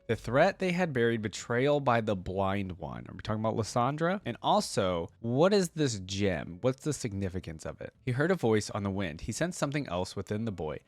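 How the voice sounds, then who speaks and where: A man's voice: on the low side, no echo. A man reading a story aloud expressively and breaking off into casual, slangy commentary as a reaction video host.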